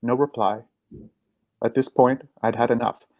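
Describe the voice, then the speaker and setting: A man's voice: mid-range voice, steady tone, measured pace, warm tone, dry recording. A man reading a ghost story as dramatic, suspenseful scripted narration.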